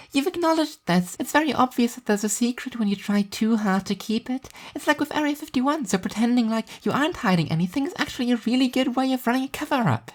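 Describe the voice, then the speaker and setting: A man's voice: extremely high pitch, pitched above the performer's own voice. A man voicing an eager, fragile young woman who rambles confidently about conspiracies in an audio story.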